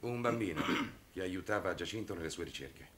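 An actor playing a calm, earnest priest in a 1960s television drama, speaking in a measured, articulate, firm way. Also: male voice, low-pitched. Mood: earnest, patient. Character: calm, earnest priest defending his young charges. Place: studio set of a furnished room. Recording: noisy old television soundtrack.

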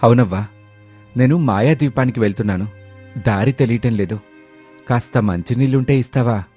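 A man's voice: medium pitch, brisk, mellow, breathy edge, natural voice. A man voicing a brave, kind young village farmer who speaks earnestly and reassuringly in a storybook tale. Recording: dry, faint music behind.

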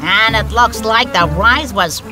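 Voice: mid-range voice, measured pace, loud music behind the voice, natural voice. An actor voicing an anxious, know-it-all broccoli in a cartoon, fussy and wry, forever explaining things. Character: anxious know-it-all broccoli, cautious, dry-witted sidekick. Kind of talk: cartoon character acting.

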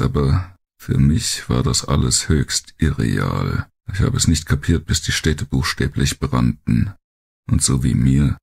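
A man voicing a blunt, cynical, world-weary male survivor of war in an audiobook, gravelly and coarse in his talk. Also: a bass voice, darkish tone, heavily acted. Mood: resigned. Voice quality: gravelly, husky. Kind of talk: audiobook character monologue.

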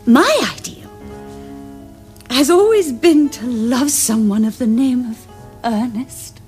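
A woman's voice: a little high, expressive, slow pace, darkish tone, faint music behind. A young woman with an upper-class English accent playing a poised, self-assured Victorian society lady in a period comedy, precise and affected. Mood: romantic ardour. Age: young adult. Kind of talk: period stage comedy acting.

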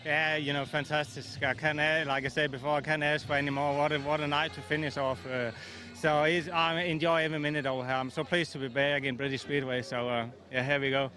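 A male rider interviewed outdoors at a stadium, speaking in a halting, hesitant way. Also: a little high, flat delivery, pushed and bright.

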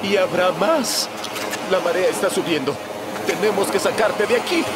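High expressive male voice, fatherly cartoon villager, worried, Latin American Spanish. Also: moderate pace, heavy background noise. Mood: urgent. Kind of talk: animated cartoon dub acting. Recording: loud music behind.

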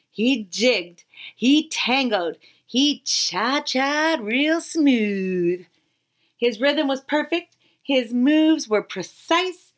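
A woman in her thirties reading a children's picture book aloud expressively, with dramatic pauses and emphasis. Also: a little high, a bit bright. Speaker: read-aloud storyteller.

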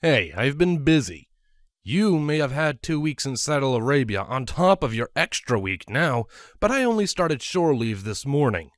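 Storybook pony captain, voiced by a man: jovial, blunt, animated banter. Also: mid-range voice, moderate pace, dry recording, studio quality, pitched above the performer's own voice, close to an everyday voice. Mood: teasing. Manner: hearty, bantering. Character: jovial, blunt male pony captain, teasing best friend.

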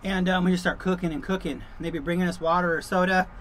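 A man's voice: sits high, steady tone, fast talker, fairly bright tone, slight background noise, poor audio. A middle-aged man chatting casually to camera as a vlog host, in an informal, easygoing, rambling way, from the back of a truck camper.

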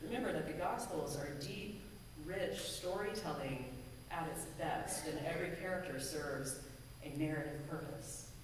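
A woman minister giving a measured, earnest sermon from a pulpit in a church sanctuary, with a pulpit microphone and a distant camera. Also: low-pitched, slight background noise. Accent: American. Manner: measured, deliberate, earnest preaching with emphatic lists. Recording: echoey sanctuary from far off.

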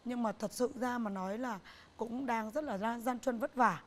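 Earnest middle-aged woman, studio interview. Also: a little high. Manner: earnest, repeats phrases, halting restarts. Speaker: business owner, guest. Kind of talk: TV talk show interview. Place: TV studio set, indoors.

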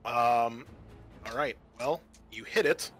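A man's voice: medium pitch, takes it slowly, over loud music. A man narrating a tabletop roleplaying game as game master, casual and thinking aloud as he goes.

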